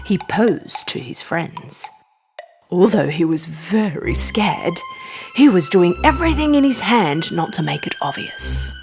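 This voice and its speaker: A woman reading a scripted children's bedtime story as an expressive narrator. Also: mid-range voice, gravelly.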